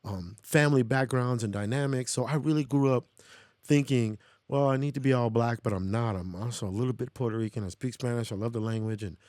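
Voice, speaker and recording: A man's voice: mid-range voice, fairly quick, darkish tone, dry recording. Candid American man, close dry sound. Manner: candid, self-reflective. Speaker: podcast guest. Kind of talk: casual personal storytelling.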